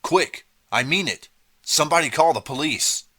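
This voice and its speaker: A man's voice: a little high, lively intonation, normal speed, sharp tone, pitched above the performer's own voice. A man voicing an insistent teenage boy who gives urgent commands.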